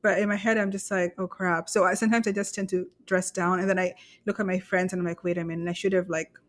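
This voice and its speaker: A woman's voice: on the low side, flat delivery, fast talker. A woman from Kenya, co-hosting a podcast in casual conversation, candid and self-deprecating about herself. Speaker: podcast co-host.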